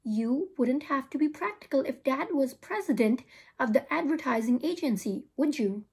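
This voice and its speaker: A woman's voice: high-pitched, animated, normal speed, darkish tone, breathy edge, full character voice. A woman reading the part of an unsettled, argumentative sixth-grade boy in a storybook, blunt and questioning. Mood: indignant.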